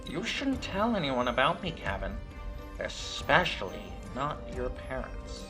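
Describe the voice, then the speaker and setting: A man voicing a magic puppet in a horror story, calm and secretive, persuading and explaining in an ominous way. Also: mid-range voice, measured pace, music in the background. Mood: hushed warning.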